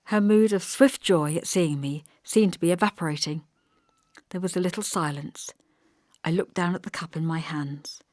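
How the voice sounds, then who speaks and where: A woman's voice: fairly low pitch, normal speed, darkish tone, no room sound, clean studio sound. A woman reading literary narration expressively as an audiobook narrator.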